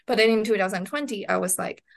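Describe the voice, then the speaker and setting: A woman's voice: mid-range voice, fast, creaky. A woman from Hong Kong chatting casually as an interview guest, with informal phrasing full of "like". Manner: informal, peppers talk with "like". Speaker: podcast guest.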